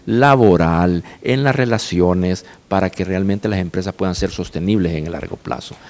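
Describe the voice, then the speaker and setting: A man's voice: sits low, a little mellow. A man speaking as a business panelist, explaining his points to a forum. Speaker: panelist, company representative. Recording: long reverberant hall sound.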